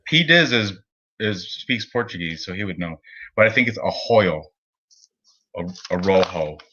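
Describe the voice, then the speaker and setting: A man talks through his fight picks in loose, rambling chat and laughs often. His voice is bright and forceful. The recording sounds a bit dull and lo-fi. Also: deep voice, expressive, moderate pace. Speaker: co-host of a betting picks show. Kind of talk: casual chat.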